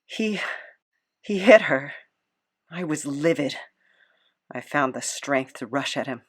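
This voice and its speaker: Woman voicing a grim, low captive monster. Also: lively intonation, slow, husky, heavily acted. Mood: grim, resentful. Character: bitter captive monster telling its past. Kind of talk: audio horror drama narration.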